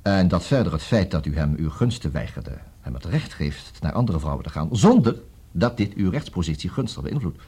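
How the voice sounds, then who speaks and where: Busy lawyer, 1960s radio comedy, Netherlands Dutch accent, wry, formal, highly animated intonation, slightly rough voice. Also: male voice, heavily acted. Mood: businesslike. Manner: formal, fussy, hesitant interjections and repeated words. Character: busy male divorce lawyer, brisk, wry, smooth-talking.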